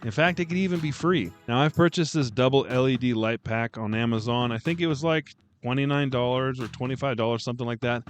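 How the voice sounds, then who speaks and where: A man with a deep voice giving casual, self-deprecating vlog tips at home, talking close into a dynamic microphone. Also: a little fast, faint music behind. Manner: chatty, self-deprecating, animated. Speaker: booktube vlogger. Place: home room lined with bookshelves.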